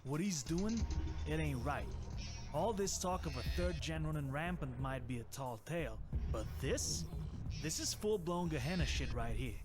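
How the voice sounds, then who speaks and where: Man playing a high-voiced streetwise hustler. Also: animated, moderate pace, music in the background, close to an everyday voice. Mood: grim, vengeful. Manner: slangy, tough-talking. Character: shady, streetwise male hustler, suspicious, aggrieved, mercenary. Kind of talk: video game dialogue acting.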